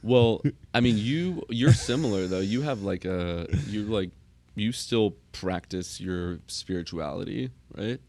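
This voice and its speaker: A man in casual podcast conversation, earnest and reassuring, often halting as he thinks aloud. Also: lowish voice, darkish tone.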